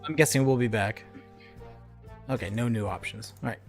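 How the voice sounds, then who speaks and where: A man's voice: medium pitch, moderate pace, creaky. A man casually commentating as he plays an adventure game, with game music behind his voice. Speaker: let's play commentator and player.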